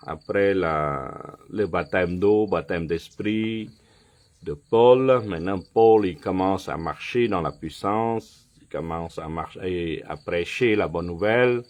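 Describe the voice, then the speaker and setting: A man with a fairly low voice preaches in measured, unhurried phrases. He is not a native speaker, so his French is careful and has small slips. He stops often to ask his flock "ok?" before going on. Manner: slow and deliberate, checks in with "ok?", many pauses and fillers. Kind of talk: sermon and Bible teaching.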